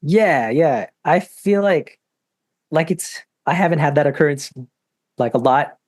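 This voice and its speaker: A young man, a singer-songwriter guest in a podcast interview, talking in a soft-spoken, hesitant way with lots of "like". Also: fairly high pitch, lively intonation, a little slow, no echo, studio quality. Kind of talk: casual interview chat.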